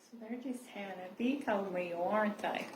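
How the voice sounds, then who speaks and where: A woman reading a children's picture book aloud and pausing to explain with tag questions. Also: medium pitch. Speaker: library storyteller. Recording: roomy echo, clear background noise.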